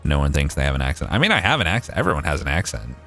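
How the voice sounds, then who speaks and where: A man's voice: a bass voice, wildly swooping pitch, racing, bright, forceful tone, faint music behind. A young Canadian man with a Maritime accent, thinking aloud and swearing casually as he streams a game. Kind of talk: casual gameplay livestream chat.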